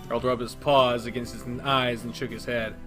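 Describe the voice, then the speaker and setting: Male let's-play reader, steady second-person narration, faint guitar behind. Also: mid-range voice, normal speed. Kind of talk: reading a visual novel aloud.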